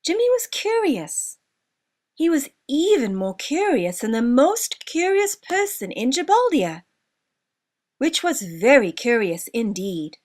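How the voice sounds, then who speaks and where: High-pitched, animated woman storyteller. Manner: expressive, deliberate storybook delivery with drawn-out words. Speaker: author narrating own story. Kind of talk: children's storytelling, scripted narration.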